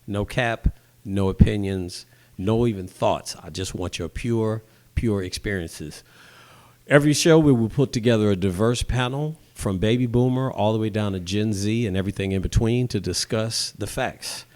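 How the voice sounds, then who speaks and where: Low-voiced male podcast host. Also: normal speed, darkish tone. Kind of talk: hosting a panel discussion.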